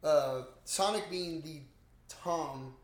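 Higher-voiced man chatting in an echoey room. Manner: halting, informal, mild swearing. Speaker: podcast co-host. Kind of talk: casual movie discussion.

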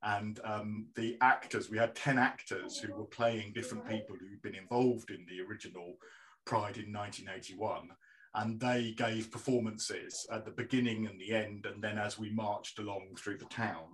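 A man speaking as a guest in a podcast discussion, recounting things in a relaxed way with some fillers. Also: fairly low pitch, normal speed, low-quality recording.

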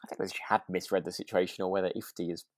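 A man's voice: sits low, little pitch movement, rapid-fire, dry recording, clean studio sound. A man with a British accent chatting casually as a podcast co-host, agreeable and a little rambling. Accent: British English. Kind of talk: casual chat about a radio drama.

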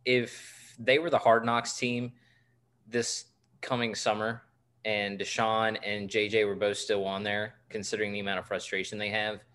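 A man's voice: fairly low pitch, level tone, moderate pace, a bit bright. A man in his twenties co-hosting a sports podcast, joking and rambling with lots of fillers. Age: 20s. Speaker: podcast co-host. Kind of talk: casual sports podcast chat.

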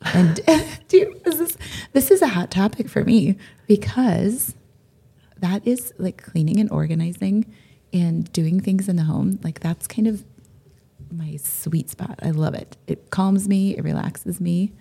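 Husky, raspy-voiced woman podcast co-host. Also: on the low side, unhurried. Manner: enthusiastic, repeats herself, warm and gushing. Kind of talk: casual chat.

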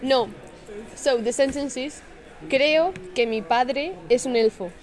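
A young woman hosting a podcast, giggling and firing quick questions in casual chat. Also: high-pitched, darkish tone. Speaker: podcast host.

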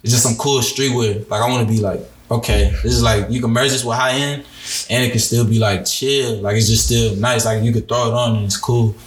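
Young man, 20, podcast guest, rambling casual talk, medium pitch, echoey room. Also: fairly even intonation, a little fast. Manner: loose, rambling, heavy use of "like". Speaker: guest musician and designer. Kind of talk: casual interview chat. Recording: roomy echo.

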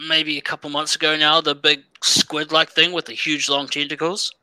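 A man's voice: a little high, moderate pace, clean tone, no room sound, low-quality recording. A man in casual podcast chat, swearing freely as he describes something. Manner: swearing, animated storytelling.

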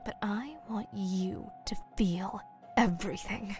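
A woman acting in an audio drama as a menacing mermaid, cool and aloof, with a formal, deadpan delivery. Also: medium pitch, slow, faint music behind, natural voice.

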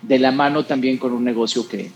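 A man's voice: medium pitch, fairly quick, faint music behind. A middle-aged man from Costa Rica, talking casually as a guest who often laughs. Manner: chatty, relaxed, laughs often. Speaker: guest, actor and presenter. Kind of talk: casual conversation.

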